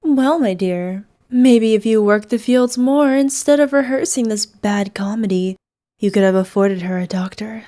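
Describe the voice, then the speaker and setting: A woman's voice: medium pitch, normal speed, warm tone, lightly acted. A woman playing an evil, imperious queen in a scripted roleplay, speaking in a haughty, formal, commanding manner. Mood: cold, contemptuous. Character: evil imperious queen, cruel to subjects, doting on her knight. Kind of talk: scripted ASMR roleplay acting.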